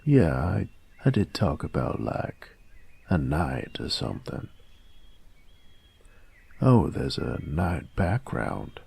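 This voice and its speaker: A man playing a gruff young bully in an audio roleplay, husky and gravelly, talking in halting slang with feigned indifference. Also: rumbling low, very animated, darkish tone, full character voice. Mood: casual, curious. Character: gruff young male bully, secretly curious about nerdy games. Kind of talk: intimate one-sided audio roleplay, casual dialogue.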